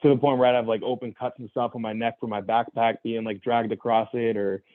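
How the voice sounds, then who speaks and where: Fast-talking young man on a tinny line. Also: mid-range voice, little pitch movement, dry recording. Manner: earnest, reflective, full of restarts and "you know". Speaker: guest. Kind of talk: candid personal story in conversation.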